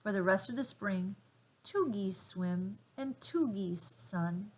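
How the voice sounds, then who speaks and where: A woman reading a children's storybook aloud with gentle, deliberate phrasing and dramatic emphasis. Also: mid-range voice, poor audio. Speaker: storybook reader.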